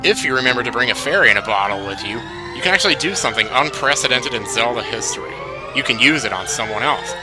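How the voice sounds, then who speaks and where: A man's voice: mid-range voice, expressive, fast, low-quality recording. A man hosting a video game countdown with scripted narration, conversational and slangy, with wry asides. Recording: music behind the voice. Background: music.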